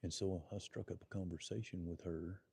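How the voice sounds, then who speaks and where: A man's voice: low voice, steady tone, a little fast, creaky, a little mellow, slightly rough, dry recording. A middle-aged man with an Oklahoma accent, chatting folksily to live-stream viewers from a deer blind and telling a rambling story.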